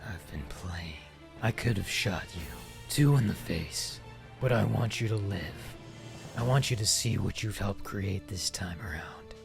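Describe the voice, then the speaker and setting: A man voicing a tormented, vengeful villain with a gravelly, menacing, brooding delivery. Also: on the low side, a little slow, soft background music. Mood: vengeful menace.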